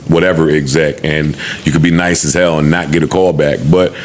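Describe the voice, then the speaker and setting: A man's voice: extremely low pitch, flat delivery, brisk, a little hiss or hum. A middle-aged man in casual podcast talk, streetwise and reflective, with profanity mixed in.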